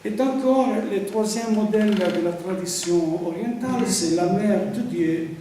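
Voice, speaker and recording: A man with an Italian accent, a priest who paints icons, giving a lecture and often pausing to hunt for words. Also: high voice, dark tone, a little hiss or hum. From Italy. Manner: halting, searching for words with frequent fillers. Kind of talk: lecture on sacred art. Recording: echoey hall, speaker far from mic.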